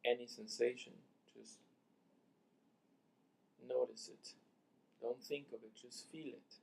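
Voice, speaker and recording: A man leading a guided meditation, speaking softly and deliberately in short phrases with long pauses, as a teacher giving calm instructions. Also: medium pitch, creaky.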